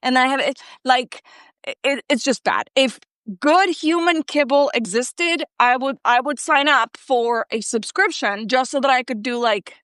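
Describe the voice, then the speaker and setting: A woman in her thirties chats casually about herself, slipping in lots of filler words. Her voice sits a little high and sounds smooth. The recording is dry and close. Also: unhurried, fairly bright tone, no room sound. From Colombia, raised in Ecuador. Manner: chatty, self-deprecating, many "like" fillers. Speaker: guest. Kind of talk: casual chat.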